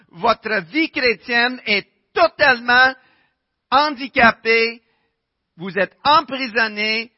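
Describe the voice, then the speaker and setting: Man, English-Canadian accent, preaching, high-pitched, slow, dull dry sound. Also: dry recording. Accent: English-speaking Canadian. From Winnipeg, Canada. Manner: conversational preaching with rhetorical questions. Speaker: pastor. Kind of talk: sermon.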